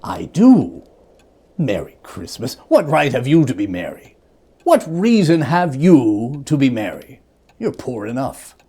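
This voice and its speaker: A reader puts on a bitter old man, a miser from a storybook. He is stern and scornful, grumbling his words with a widely swinging, animated pitch. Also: unhurried, breathy edge. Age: older.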